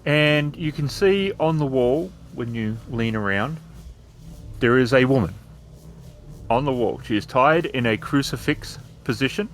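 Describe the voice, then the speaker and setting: A man's voice: medium pitch, expressive, a little slow. A man working as game master, narrating a horror scene descriptively for a tabletop roleplaying game. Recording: faint background ambience noise.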